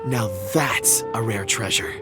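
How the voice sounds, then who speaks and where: A man's voice: lowish voice, a little slow, close to an everyday voice. A man playing a polite, easygoing young rock expert in a video game, courteous and articulate. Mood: admiring, eloquent. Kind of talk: video game character acting. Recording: soft game music behind the voice.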